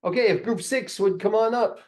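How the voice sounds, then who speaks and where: A man's voice: sits high, brisk. A man working as a teacher, giving informal classroom instructions.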